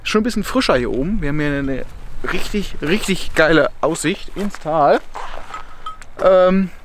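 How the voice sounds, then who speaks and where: A young man talking casually to the camera in a travel vlog, relaxed and chatty, driving a car and then outdoors. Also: a little high, normal speed, a little hiss or hum. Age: young adult. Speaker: vlogger.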